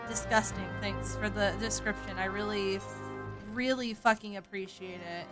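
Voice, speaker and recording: A woman's voice: medium pitch, moderate pace, music in the background. A woman from the American South with a light Southern accent, chatting casually and cursing freely while streaming a game. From Southern United States. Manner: chatty, profane, animated. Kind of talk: casual gaming stream chat.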